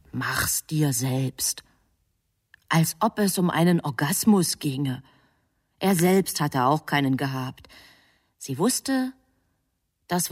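An actress as a composed female storyteller, giving deliberate scripted narration in a literary radio play. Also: sits low, heavily acted.

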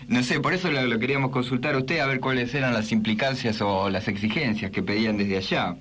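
A man's voice: medium pitch, quick pace, fairly bright tone. A man with a Buenos Aires accent hosting a comic radio interview, polite and hesitant, often repeating himself. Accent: Argentine, Buenos Aires. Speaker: radio host. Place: radio studio.

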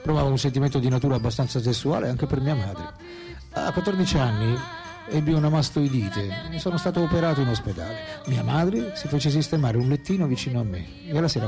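An actor playing an ageing, self-absorbed philosopher in a radio drama, speaking lines in a theatrical, deliberate, emphatic way. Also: male voice, medium pitch, expressive, music in the background, lightly acted. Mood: wistful, animated. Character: famous philosopher as old man, wry, intense, self-absorbed.

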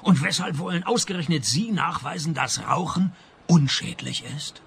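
An actor playing a sardonic, weary private detective, narrating a comic hard-boiled radio play in a deadpan manner. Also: male voice, on the high side, moderate pace, gravelly, slight background noise. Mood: dry, skeptical. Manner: deadpan, dry wit. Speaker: narrator and main character.

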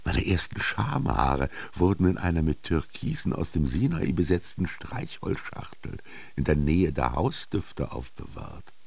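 A gravelly, deep-voiced old storyteller. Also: male voice, exaggerated voice. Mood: wry reminiscing. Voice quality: gravelly, husky. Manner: slow, brooding, expressive storytelling with dramatic pauses. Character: old Egyptian former goatherd, wry, earthy, reminiscing, philosophizing. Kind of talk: literary radio drama monologue.

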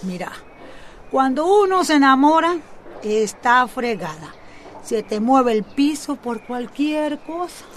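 A woman's voice: high-pitched, very animated, slow pace, slight background noise, heavily acted. An actress with a Costa Rican accent playing a nosy, motherly, easily shocked neighbour in a radio soap opera. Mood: prying, knowing. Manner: inquisitive, teasing.

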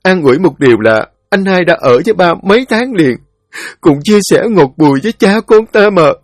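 A man's voice: high-pitched, expressive, normal speed, no room sound, clean studio sound, pitched above the performer's own voice. A man voicing a grieving grown daughter who tenderly pleads with her old father to hold on. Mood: pleading.

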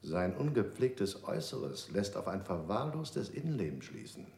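A cold, prejudiced interrogator in a radio play, deep-voiced with a lively, swinging pitch. He rattles off scornful lists, with some room around him. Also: moderate pace, creaky, reverberant, full character voice. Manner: scornful listing of traits.

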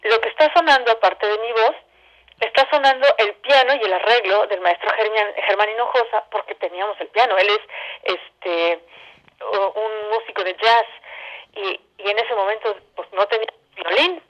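A Mexican woman explaining things as an interview guest in an expressive, colloquial, storytelling way over a telephone line. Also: medium pitch, normal speed. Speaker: interview guest, theatre director. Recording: dull telephone-line sound.

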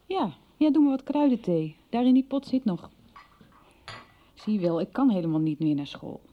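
A woman's voice: mid-range voice, very animated, moderate pace, phone-quality sound, natural voice. An actress playing a worried, suffering woman with a plaintive manner in a radio soap. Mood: pained, despairing. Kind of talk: 1990s Dutch radio soap acting.

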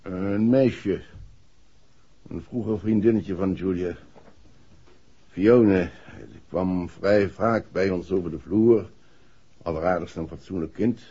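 Low-voiced indignant father, stubborn, stiff and formal, 1960s radio play, muffled vintage sound. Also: takes it slowly, pauses often, dark tone, husky, heavily acted. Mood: grave, confiding. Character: indignant, stubborn upper-class father guarding his privacy.

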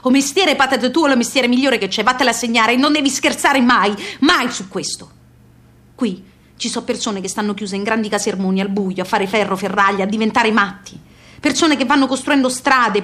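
A woman's voice: on the high side, fairly bright tone, lightly acted. An actress playing a proud, bossy emigrant mother in a radio play, with a southern Italian accent and emphatic, repetitive, folksy phrasing. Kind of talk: stage-like radio theatre monologue and dialogue.

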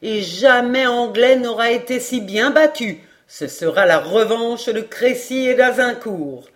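A woman voicing a confident, excitable French male geographer in an emphatic, declamatory storybook manner. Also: on the high side, a little slow, pitched above the performer's own voice, close to an everyday voice. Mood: triumphant. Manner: emphatic, declamatory, self-assured. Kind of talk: expressive audiobook character reading.

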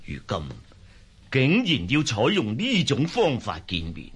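A hearty old Cantonese khan played by a male actor speaks slowly and weightily in a husky voice. His pitch moves widely as he addresses his younger ally like a father, in radio drama style. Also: wildly swooping pitch, full character voice. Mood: weighty, genial.

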